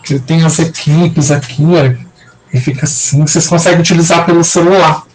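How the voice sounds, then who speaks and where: Young São Paulo teacher, high-pitched, rambling online lecture, recovering from a cold. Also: male voice, steady tone, fairly quick, darkish tone, slight background noise, low-quality recording. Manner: rambling, many fillers and restarts.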